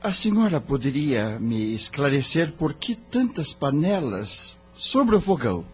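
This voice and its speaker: A man's voice: fairly high pitch, very animated, unhurried, a little hiss or hum. A man playing an earnest, respectful young man in an audio drama, polite and deferential, with a Brazilian accent. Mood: curious, polite. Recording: dull lo-fi audio.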